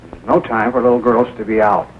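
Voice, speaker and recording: A man's voice: medium pitch, little pitch movement, fast talker, close to an everyday voice. An actor playing a shiftless father living on pension checks in a 1940s film. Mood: sheepish, scolding. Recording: tinny, noisy 1940 film soundtrack.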